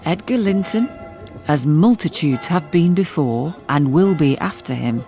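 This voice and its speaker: A woman's voice: deep voice, lively intonation, unhurried, over music. An actress playing a sensible, level-headed woman servant who narrates a gothic radio drama in a sober manner. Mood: grave, sober. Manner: sober, reasoning. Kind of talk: measured dramatic storytelling for radio.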